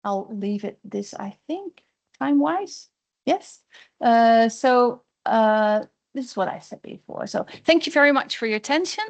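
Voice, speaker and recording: A woman researcher closes out a seminar talk, explaining her findings in plain terms. Her voice sits a little higher than most women's and sounds dry and near the mic. She acts out short quotes from the people she studied. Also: unhurried, dry recording. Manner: explanatory, quoting others in lively voices. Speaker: researcher presenting a study.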